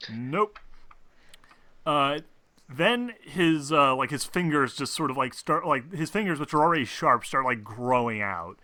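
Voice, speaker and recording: A man runs a tabletop game with a very bright, forceful voice. He narrates off the cuff in casual language and gets excitable as he directs the players. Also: medium pitch, a little slow, no echo. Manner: informal, excitable, swears freely. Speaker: game master.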